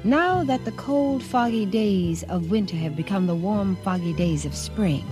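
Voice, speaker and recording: A woman narrating a romantic radio drama in a measured, mock-solemn storytelling manner. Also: medium pitch. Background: music.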